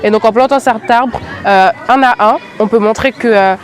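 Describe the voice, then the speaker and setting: A woman's voice: a little high, normal speed. A teenage girl from France, a student, answering an interview hesitantly with many fillers. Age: teens.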